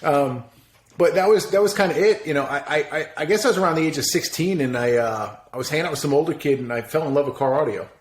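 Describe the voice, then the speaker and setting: A man's voice: fairly high pitch, quick pace, slight background noise. Man in his forties, roomy sound. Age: 40s. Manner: loose, swears freely, laughs at himself. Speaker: podcast guest. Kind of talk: casual interview chat. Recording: roomy echo.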